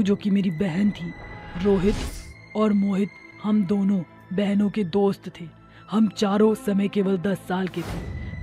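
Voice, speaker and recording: A woman voicing a vengeful ghost witch in a horror cartoon, threatening and aggrieved, with a slow delivery. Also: medium pitch, warm tone, close to an everyday voice. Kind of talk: Hindi horror cartoon dialogue.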